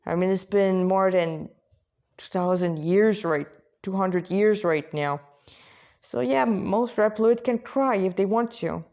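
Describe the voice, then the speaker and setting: A woman's voice: mid-range voice, slow, dark tone, lo-fi sound. A woman reading a story aloud as an amateur narrator, informal, with stumbles, self-corrections and casual asides. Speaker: amateur storyteller reading own fan fiction.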